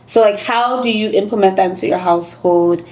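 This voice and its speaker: A young woman hosts a relaxed interview, chatty and playfully teasing her guest. She laughs often and keeps the talk moving with quick follow-up questions. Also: medium pitch, poor audio. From Yoruba family in the United States. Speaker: podcast host. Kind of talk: casual interview chat.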